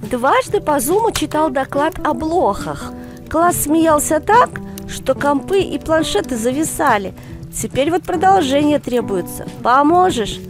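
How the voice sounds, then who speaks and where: A woman's voice: high voice, sing-song, a little slow, over music, voice pushed up. A woman voicing a curious, cheeky schoolboy grandson in a storybook reading, eager and colloquial. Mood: excited.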